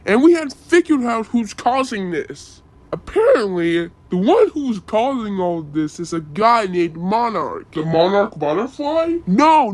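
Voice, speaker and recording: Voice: mid-range voice, close to an everyday voice. A person acting an alarmed, bewildered onlooker in an amateur disaster drama, speaking hesitantly with exclamations. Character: bewildered, alarmed onlooker watching butterflies attack.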